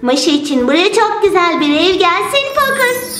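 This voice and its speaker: Woman as a kindly, high-voiced magical doll. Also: sing-song, normal speed. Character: kindly, indulgent magical girl doll who grants wishes. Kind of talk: children's toy-play storytelling. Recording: roomy sound with music behind.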